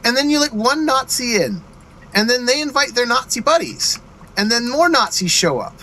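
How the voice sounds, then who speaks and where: Easygoing man, lively pitch. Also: moderate pace, slight background noise, poor audio. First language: Swedish. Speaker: co-host playing a game. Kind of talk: casual gaming chat.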